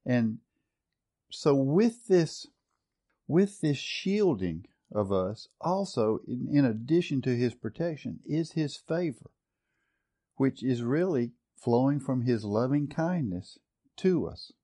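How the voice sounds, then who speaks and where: A man leads a Bible study in a warm, mellow voice at an ordinary pitch. He is earnest and reflective, weighing one scripture translation against another. He often restarts a phrase, repeating a word or two as he reaches for it. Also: lively intonation, slow. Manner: repeats words while finding the phrase. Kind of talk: teaching a Bible study session.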